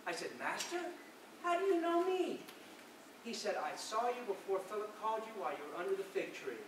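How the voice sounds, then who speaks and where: A man playing an earnest, devout fisherman disciple in a stage monologue, declaiming his story in a measured way. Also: high-pitched, sing-song. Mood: reverent. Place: large reverberant indoor hall, recorded from afar. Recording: very echoey hall, distant mic, noisy.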